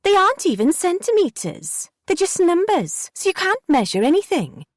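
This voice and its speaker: This is a sullen young girl, peevish and argumentative, put on by a woman narrator. The voice sits high and swoops up and down. It is recorded dry and close, with no room sound behind it. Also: big pitch swings, normal speed, no room sound. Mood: defiant.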